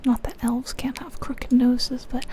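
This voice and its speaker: A woman whispering softly in an ASMR style, musing aloud over a game in an unhurried way, close to the microphone. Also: high-pitched, little pitch movement. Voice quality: soft, breathy whisper. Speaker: ASMR video creator.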